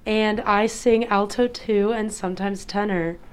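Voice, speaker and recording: A woman's voice: medium pitch, steady tone, measured pace, clean tone. A young woman from Illinois, a college sophomore, introducing herself in a cheery, slightly scattered way. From Flossmoor, Illinois. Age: young adult. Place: radio studio.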